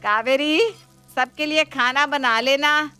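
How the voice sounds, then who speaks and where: A woman's voice: high voice, slow, bright, forceful tone, voice pushed up, heavily acted. A woman voicing a stern, bossy middle-aged mother-in-law who speaks emphatically in a family story. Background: music.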